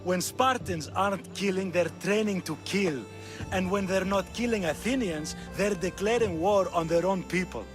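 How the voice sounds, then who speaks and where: A man acts a condescending monarch in a game cutscene. His voice sits fairly high, courtly and sly in its theatrical delivery, with music clearly behind. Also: normal speed, lightly acted. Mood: persuasive. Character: smooth, sly Spartan king, courtly and condescending. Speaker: actor voicing a game character. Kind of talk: dramatic video game acting.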